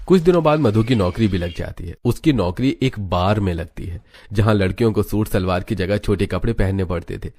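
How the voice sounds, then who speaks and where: A man's voice: fairly low pitch, a little fast, hardly any pauses, a little mellow. A man narrating a cartoon story in Hindi from a script.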